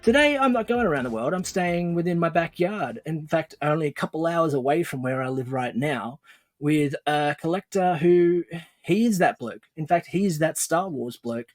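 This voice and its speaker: A man's voice: a little high, expressive, normal speed, no echo, faint music behind. A middle-aged man hosting a podcast interview, upbeat and enthusiastic, laughing often. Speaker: podcast host.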